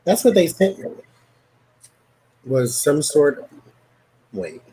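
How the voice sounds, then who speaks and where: Raspy male host, relaxed, explaining, casual stream talk. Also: fairly high pitch, slow pace, poor audio. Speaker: host and psychic reader. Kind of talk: casual live stream chat.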